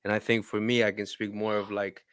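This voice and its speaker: A man talking in a casual podcast conversation, reflective and halting, often restarting his sentences. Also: fairly low pitch, flat delivery, fairly bright tone, clean tone, no room sound, clean studio sound.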